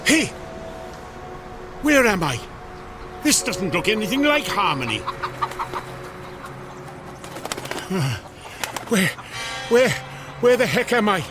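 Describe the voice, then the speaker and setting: An actor playing a dour, flustered small-town grumbler of 1890 in a radio drama, with a blustering, exclamatory delivery. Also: male voice, sits high, heavily acted. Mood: bewildered.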